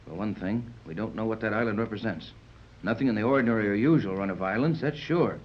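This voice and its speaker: An actor playing a commanding, level-headed yacht captain who gives clipped orders in a 1930s radio serial. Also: male voice, lowish voice, fast, close to an everyday voice. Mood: grave, measured. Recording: dull, muffled 1930s radio recording.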